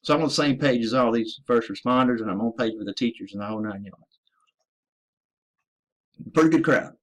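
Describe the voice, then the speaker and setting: A man doing an American military veteran on a blunt, cursing rant, hammering each point home and talking straight to his listeners. His voice sits at an ordinary middle pitch for a man. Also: a little fast, low-quality recording. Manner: profane, emphatic, addresses listeners as ladies and gentlemen. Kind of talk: ranting monologue.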